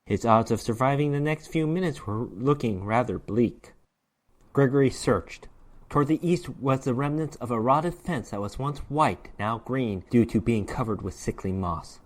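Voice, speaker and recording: A man reads horror fiction aloud as a narrator. His voice sits at a medium pitch. He delivers the prose theatrically, leaning on words for dramatic emphasis. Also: moderate pace. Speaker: narrator reading a short story.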